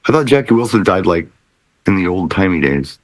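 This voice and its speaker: A man's voice: deep voice, sing-song, brisk, dry recording. A middle-aged man hosting a casual music panel chat with trivia questions. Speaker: host of a music talk show.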